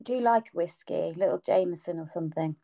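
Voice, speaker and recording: A woman's voice: on the low side, brisk, warm tone. A woman in her mid-thirties in casual chat, candid and talkative, laughing often. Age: 30s.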